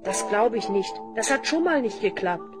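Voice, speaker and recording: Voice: medium pitch, lively intonation, moderate pace, over music, natural voice. An actor voicing a resolute talking dog who leads with earnest, urgent commands in a fantasy radio play. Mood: puzzled.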